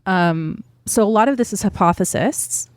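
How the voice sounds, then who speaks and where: A woman's voice: mid-range voice, moderate pace, no echo, studio quality. A woman co-hosting a podcast, explaining comic book history in a chatty way with fillers and small laughs. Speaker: podcast co-host. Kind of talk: casual explanatory podcast chat.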